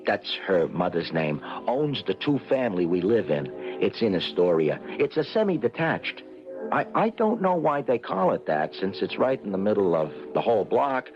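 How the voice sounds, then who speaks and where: An actor playing a nervous, henpecked office clerk who stammers and wryly narrates his own troubles in an old-time radio comedy. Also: fairly low pitch. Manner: hesitant, stammering, wry. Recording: dull old radio recording with music.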